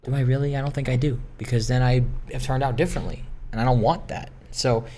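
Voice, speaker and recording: A man's voice: medium pitch, steady tone, fast talker, warm tone. A man rambling introspectively in a solo gaming commentary, hesitant, often repeating and correcting himself. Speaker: gaming video creator doing commentary. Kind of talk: rambling, introspective solo chat over gameplay.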